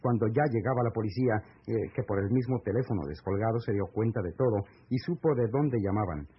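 An actor with a Mexican accent playing an earnest, courteous man in a radio serial, speaking formally and deliberately. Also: on the low side, slight background noise, close to an everyday voice. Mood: grave, explanatory. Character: earnest, courteous man patiently breaking grave news. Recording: muffled, lo-fi old AM radio recording.

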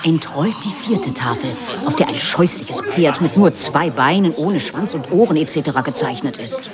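A man's voice: a little high, lively intonation, normal speed, over loud music, natural voice. A man playing a matter-of-fact narrator who reads stage directions in a radio play.

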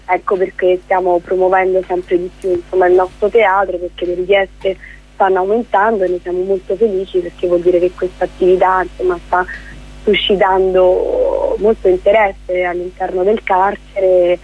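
A woman's voice: lowish voice, flat delivery, moderate pace, slightly rough, narrow, tinny audio. A woman speaking as a guest theatre director in a conversational radio interview, explaining things discursively.

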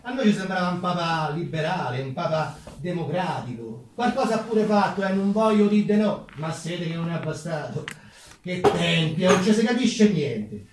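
A man's voice: a little high, darkish tone, slight background noise, natural voice. A man with a Roman accent playing a chatty, self-deprecating working-class umbrella mender of the 1840s in a theatrical monologue. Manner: folksy, conversational, rhetorical asides to the audience. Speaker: stage actor. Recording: very echoey room, distant microphone.

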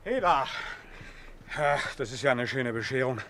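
An actor playing a grumbling, callous arena lion keeper, blustery and complaining, in a radio drama. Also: male voice, medium pitch, expressive, normal speed, a crowd in the background, natural voice. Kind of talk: German radio drama acting.

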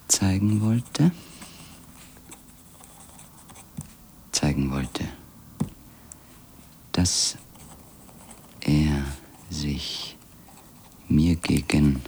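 An actor playing a brooding, wearily proud former editor who narrates a literary radio drama in a halting manner. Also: male voice, rumbling low, darkish tone, slight background noise, exaggerated voice. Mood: halting, murmuring. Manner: halting, repeats words, trails into murmurs. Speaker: narrator and protagonist of a radio play.

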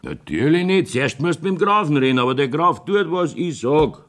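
Blustering Bavarian mayor, very animated. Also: male voice, on the high side, normal speed. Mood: jovial, scheming. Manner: earthy, folksy, blustering. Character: wily, blustering village mayor, jovial and evasive. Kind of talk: dialect radio play acting.

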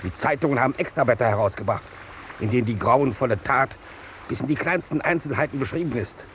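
An actor playing a zealous army captain, stiff, pompous and officious, in a 1950s radio play. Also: male voice, medium pitch, fast talker, fairly bright tone, natural voice. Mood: pompous indignation. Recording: noisy 1950s radio drama recording.

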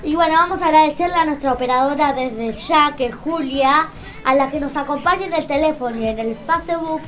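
A woman's voice: high-pitched, a little fast, a bit bright. A young woman with an Argentine accent, hosting a casual live community radio show in Spanish. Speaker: radio host.

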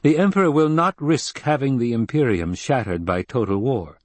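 A man's voice: mid-range voice, lively intonation, normal speed, no room sound, pitched above the performer's own voice, close to an everyday voice. A male narrator voicing a proud young nobleman who speaks with commanding force. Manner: imperious, forceful delivery.

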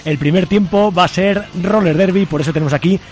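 Higher-voiced Spanish radio host, a man. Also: fairly quick. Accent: Spanish from Spain. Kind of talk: live radio presenting. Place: radio studio.

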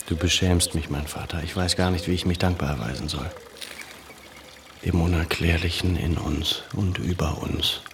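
A man's voice: deep voice, fairly even intonation, normal speed, noisy background. An actor playing an educated, earnest Armenian man in a radio play, brooding and pensive, with a troubled intensity. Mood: respectful, earnest.

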